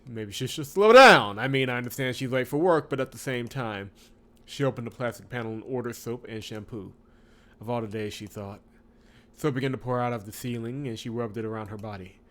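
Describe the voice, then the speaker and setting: A man hosting a visual novel playthrough, chatting casually and reading the story aloud in an expressive way with wry asides. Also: mid-range voice, brisk. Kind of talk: casual let's-play commentary with read-aloud narration.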